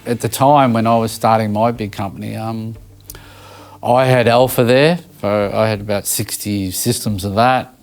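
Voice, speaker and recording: An Australian man with a fairly low voice chats casually in an interview, looking back on his working life. His phrasing is informal and halting, and there is music along with his voice. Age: middle-aged or older. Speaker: interviewed live sound engineer and audio company owner.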